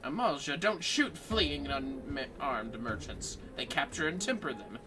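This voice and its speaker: A man voicing a sharp, scolding inspector in a game, theatrical, accusing and exasperated. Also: a little high, lively intonation, measured pace, far from the mic, noisy background. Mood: scornful. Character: sharp, scolding male inspector interrogating a suspect.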